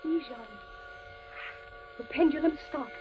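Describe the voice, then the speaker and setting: High-voiced, haunting devoted lover. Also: female voice, unhurried. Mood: tender, subdued. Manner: earnest, dramatic, urging. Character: devoted imagined female lover, urgent and haunting. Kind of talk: 1940s radio drama acting. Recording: noisy, muffled old 1940s radio recording.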